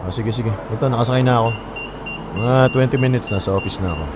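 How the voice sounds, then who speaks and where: A man's voice: medium pitch, quick pace, noisy background, close to an everyday voice. A man playing a young office worker in naturalistic, conversational audio drama dialogue. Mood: casual, teasing. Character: casual young office worker chatting on his commute.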